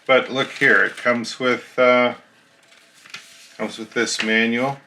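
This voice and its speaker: A man's voice: medium pitch, little pitch movement, takes it slowly, bright, forceful tone, a little hiss or hum. A man casually narrating an unboxing of a radio key, explaining each part plainly as he shows it.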